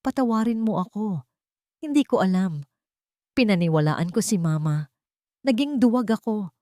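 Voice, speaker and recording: A woman's voice: mid-range voice, lively intonation, moderate pace, dark tone, no room sound, clean studio sound, pitched above the performer's own voice, close to an everyday voice. A woman narrator voicing a remorseful, weak-willed rich man in a story, pleading for forgiveness.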